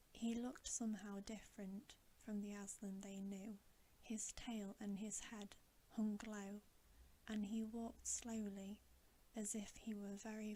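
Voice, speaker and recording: A woman reads a bedtime story in a gentle ASMR whisper, slow and even in delivery. Her soft, mellow sound keeps to a narrow range of pitch, with faint noise behind it. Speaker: ASMR channel host reading a bedtime story.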